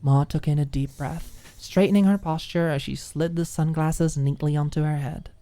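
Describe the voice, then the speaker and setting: A woman's voice: sits low, fairly even intonation, moderate pace, warm tone, no room sound. A woman narrating a scripted story in an ASMR audiobook style.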